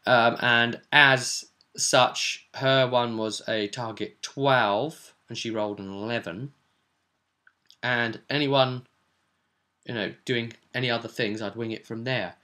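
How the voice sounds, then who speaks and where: A man's voice: mid-range voice, fairly even intonation, takes it slowly, sharp tone. A man hosting as game master, casually recapping a tabletop role-play session in a rambling, descriptive way.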